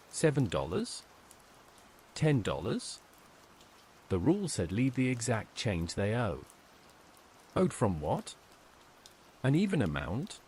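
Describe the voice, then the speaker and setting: A man's voice: fairly low pitch, a little mellow, a little hiss or hum. A man reading a scripted first-person horror story in a dramatic, suspenseful way.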